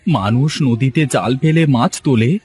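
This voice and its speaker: A man acts out a hard-up cartoon fisherman. He is a self-pitying, impatient husband who speaks in a plaintive grumble at a middling male pitch. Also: normal speed, darkish tone, natural voice. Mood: grumbling. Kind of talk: Bengali cartoon story voice acting.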